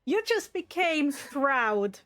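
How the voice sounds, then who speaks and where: A woman's voice: high-pitched, big pitch swings, takes it slowly, fairly bright tone. A woman acting as game master, narrating and guiding an online tabletop roleplay.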